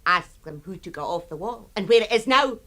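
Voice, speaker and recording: A woman's voice: mid-range voice, lively intonation, fairly quick, fairly bright tone, natural voice. An actress playing a bitter, defiant young woman in a radio drama, with an emotional, dramatic delivery. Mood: taunting, accusing.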